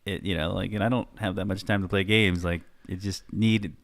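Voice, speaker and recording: A man's voice: sits low, steady tone, fairly quick. A man hosting a podcast, rambling casually and making self-deprecating jokes. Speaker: podcast host. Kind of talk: casual chat.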